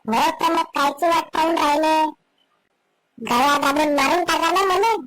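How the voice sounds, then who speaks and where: A woman's voice: high voice, moderate pace, fairly bright tone, dry recording, rough recording. A woman playing a nagging, sarcastic wife in a comedy cartoon, scolding in Vidarbha Marathi dialect. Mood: sarcastic, indignant.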